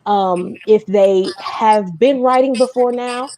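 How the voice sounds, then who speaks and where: A woman's voice: on the high side, takes it slowly, dark tone. Female host for a casual music livestream. Playful, teasing, conversational delivery. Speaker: host of a music speaker series. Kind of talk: casual live-stream chat. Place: live video stream, self-shot on a phone.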